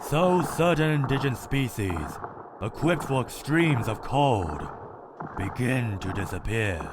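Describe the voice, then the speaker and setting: A man voicing a cold, calculating, one-eyed male robot scientist in a comic dub, speaking in a measured, clinical, detached way. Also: mid-range voice, noisy background. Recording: dramatic music underneath.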